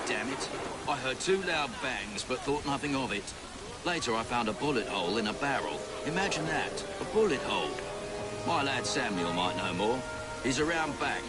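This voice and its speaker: A man's voice: medium pitch, close to an everyday voice. A man playing a chatty, kindly working-class beer delivery driver in video game dialogue. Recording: loud music behind the voice.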